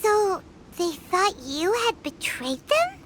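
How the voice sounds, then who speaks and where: A woman voicing a tiny childlike fairy companion in a video game, squeaky, cute, emphatic and excitable. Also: slow, background noise, full character voice. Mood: doubtful. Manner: squeaky, cute, emphatic, speaks of herself in third person. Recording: loud music behind the voice.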